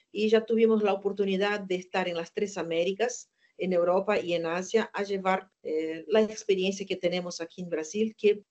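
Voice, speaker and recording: A woman's voice: medium pitch, a little mellow. Brazilian woman doctor, non-native speaker, earnest emphatic lecture, slightly muffled sound. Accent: Brazilian Portuguese. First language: Portuguese. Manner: earnest, emphatic, asks and answers own questions. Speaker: gynecologist lecturer. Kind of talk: webinar lecture.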